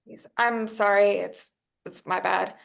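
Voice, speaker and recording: A woman's voice: medium pitch, fairly even intonation, unhurried, creaky. A woman playing an eager, apologetic young female factory worker in a screenplay table read, hesitant and polite. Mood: sheepish.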